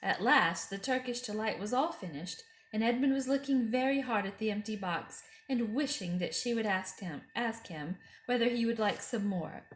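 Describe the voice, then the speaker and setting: A woman's voice: fairly high pitch, normal speed, hardly any pauses. Woman storyteller in an echoey room. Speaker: storyteller reading aloud. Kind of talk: expressive children's book reading. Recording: roomy echo.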